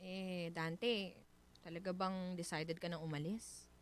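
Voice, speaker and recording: A woman with a Filipino accent playing a soft-hearted, attached wife in a radio drama, speaking in a hesitant, emotive way. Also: lowish voice, fairly even intonation, takes it slowly. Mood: wistful.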